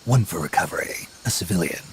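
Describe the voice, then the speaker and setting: Very deep, gravelly battle-worn soldier. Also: male voice, animated, fast talker, whispered, poor audio. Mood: calm, firm. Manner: laconic, low-key. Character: gruff, battle-worn male soldier, calm and laconic. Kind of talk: video game cutscene acting. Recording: loud music under the voice. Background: loud music.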